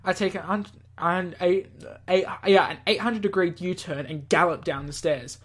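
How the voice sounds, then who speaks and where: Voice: medium pitch, normal speed, breathy edge. A gaming video host reading a visual novel aloud with playful asides, often stumbling over words and self-correcting.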